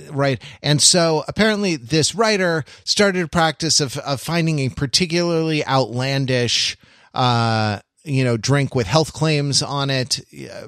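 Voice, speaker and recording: A man co-hosting a podcast in casual discussion, with a halting, wry, self-interrupting way of talking. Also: mid-range voice, fairly bright tone, smooth, no room sound, clean studio sound. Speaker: podcast co-host. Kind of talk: casual discussion, summarizing and quoting an article.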